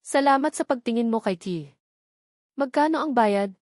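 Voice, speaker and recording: A woman's voice: fairly high pitch, animated, moderate pace, a little mellow, dry recording, studio quality, pitched above the performer's own voice, natural voice. A woman narrator voicing a weary, guarded single mother in a drama story, curt and insistent.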